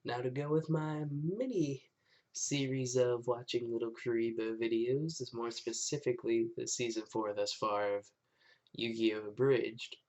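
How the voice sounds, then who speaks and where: Casual mid-pitched man hosting reactions. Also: fairly even intonation, a little slow, darkish tone. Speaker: host of reaction videos. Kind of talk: casual video introduction.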